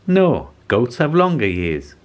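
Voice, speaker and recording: Man squeaking as a small high-pitched storybook mouse. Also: huge pitch swings, slow pace. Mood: matter-of-fact. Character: small, timid, pleading furry mouse. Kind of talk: children's storybook character voice.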